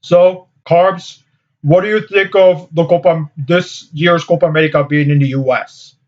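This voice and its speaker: A man hosting a casual group discussion, speaking haltingly and often repeating words. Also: a little high, steady tone. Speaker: discussion host. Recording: slightly dull, lo-fi audio.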